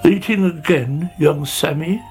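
A grumbling old snail, played by a woman reading a storybook, muttering his disapproval. He speaks slowly and with a gravelly creak, sighing at the young. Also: very deep voice, big pitch swings, darkish tone, faint music behind, exaggerated voice. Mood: disapproving.

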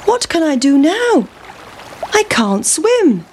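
A woman voicing a plucky, excitable runaway pancake in a children's storybook, with emphatic exclamations. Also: high voice, takes it slowly, a little hiss or hum, voice pushed up, full character voice. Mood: alarmed.

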